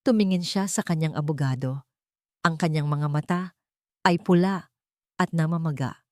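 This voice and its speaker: A female storyteller reads a dramatic first-person tale. Her voice sits a little low and is captured very close and dry. The delivery is measured, solemn and full of feeling. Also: a little mellow, no echo. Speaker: audio story narrator.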